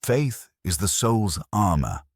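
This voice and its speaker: A man's voice: on the low side, very animated, takes it slowly, no room sound, studio quality, pitched above the performer's own voice, exaggerated voice. A man voicing a doctrinaire female religious instructor in a science fiction story, formal, solemn and preaching. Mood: stern, preaching.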